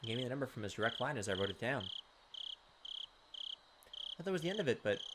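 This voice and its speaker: A man hosting a game video, reading visual novel text aloud with slow suspense and dropping into casual commentary. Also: medium pitch, very animated. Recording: clearly noisy home recording, clicks, insect sounds.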